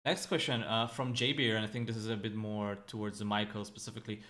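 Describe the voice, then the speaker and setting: A man's voice: on the low side, flat delivery, fast talker, fairly bright tone. A man hosting a panel, reading out viewer questions with a few light fillers. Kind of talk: casual panel chat.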